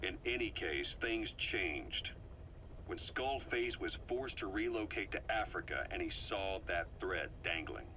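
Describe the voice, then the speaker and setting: A lowish, sharp-edged man's voice, as a shrewd intelligence officer in a game. He lays out his theory in emphatic, deliberate monologue, analytical and intense, on a faintly noisy cassette-style recording. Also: animated, highs cut off, exaggerated voice. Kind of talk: scripted briefing monologue in a video game.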